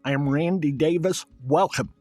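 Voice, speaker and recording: A man's voice: on the high side, normal speed, over music. A man hosting a podcast, giving introductions and asking interview questions. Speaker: podcast host.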